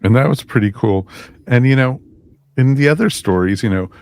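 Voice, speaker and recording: A man's voice: mid-range voice, lively intonation, normal speed, darkish tone, no room sound. A man co-hosting a podcast, chatting in an enthusiastic, jokey way. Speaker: podcast co-host. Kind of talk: casual review chat.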